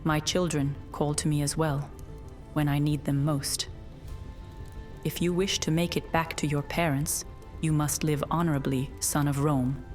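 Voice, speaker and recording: Woman acting as solemn, low-voiced ancient witch. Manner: earnest, portentous, formal phrasing. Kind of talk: dramatic fantasy audio roleplay. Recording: music under the voice.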